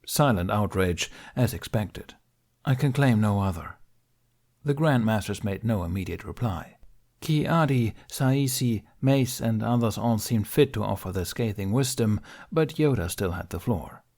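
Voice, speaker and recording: A male audiobook narrator reads dramatic fiction. His voice sits a little low, and his delivery is measured and solemn. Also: animated, a little mellow.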